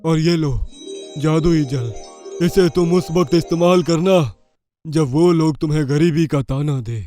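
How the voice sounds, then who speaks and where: A woman voicing a kind old holy man in a cartoon story, calm and consoling. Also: sits low, unhurried, soft background music.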